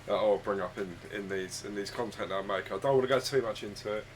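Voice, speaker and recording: A man with a husky, croaky voice, hoarse from a chest cold, chatting casually and rambling hesitantly while streaming a game from home on a desk microphone. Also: lowish voice, quick pace, slight background noise, poor audio. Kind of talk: casual live-stream chat. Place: home room with his dog.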